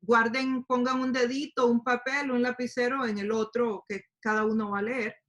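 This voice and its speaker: A woman teaching a Bible study to a group, speaking warmly and explaining with fillers and questions. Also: a little high, level tone, normal speed. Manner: speaks to a group, asks them to open their Bibles, many fillers like "eh" and "este". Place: lives in the United States.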